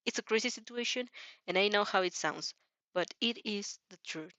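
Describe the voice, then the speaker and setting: A woman's voice: medium pitch, normal speed, sharp tone, no room sound. A woman voicing a young vampire girl, earnest, blunt and stubbornly determined. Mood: pleading, earnest.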